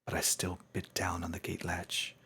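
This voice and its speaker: A man giving a dramatic, scripted narration of a story in a solemn, measured storytelling manner. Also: low voice, completely flat delivery, gravelly, sharp tone, slightly rough.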